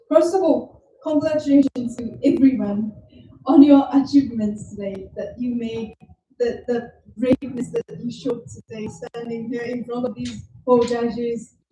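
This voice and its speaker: A young woman with a Japanese accent, whose first language is Japanese, giving a modest, hesitant talk as a student entrepreneur. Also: a little high. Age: young adult. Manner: modest, hesitant, many fillers. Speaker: guest speaker, student entrepreneur. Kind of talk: conference talk. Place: university event venue. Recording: roomy, distant hall sound with faint noise.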